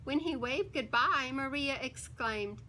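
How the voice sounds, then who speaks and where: A woman reading a children's picture book aloud in an expressive, theatrical way, with emphatic words and dramatic pauses. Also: sits high, a little hiss or hum.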